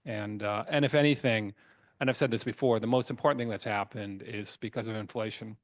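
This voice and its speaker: Halting businessman presenting, dry close sound. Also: fairly low pitch, fairly even intonation, dry recording. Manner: halting, repeats words and restarts often. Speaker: company chairman. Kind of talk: earnings call presentation, semi-scripted business talk.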